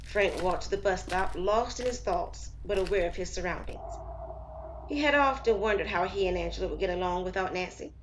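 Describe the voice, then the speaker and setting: A woman narrating a scripted story as storyteller, in a measured, expressive way. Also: fairly low pitch, a little hiss or hum. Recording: slightly muffled, lo-fi.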